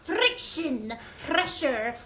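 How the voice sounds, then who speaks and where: A woman's voice: high-pitched, sing-song, drawn-out words, heavily acted. A woman voicing a grim, commanding piece of bubble gum in an audio comedy, with clipped, dramatic pronouncements in a roomy space. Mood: tense, commanding. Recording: roomy sound, faint background noise.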